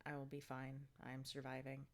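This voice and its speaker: Low-voiced woman, stuffy and breathless. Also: completely flat delivery, moderate pace. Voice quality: congested, stuffy nose. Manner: chatty, rambling, many ums and likes. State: slightly out of breath. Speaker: fitness vlogger. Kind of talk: casual video diary.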